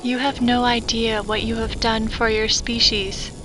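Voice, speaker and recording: A woman's voice: a little high, level tone, a little slow, music in the background, natural voice, low-quality recording. A woman playing a devoted, grave female synthetic human in a sci-fi audio drama, speaking in an earnest, solemn manner. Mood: tender, sorrowful.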